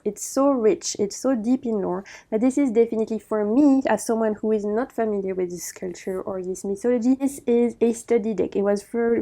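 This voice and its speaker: A woman's voice: mid-range voice, warm tone. A woman chatting enthusiastically through a tarot deck review, often correcting herself mid-sentence. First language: not Chinese. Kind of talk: casual review walkthrough.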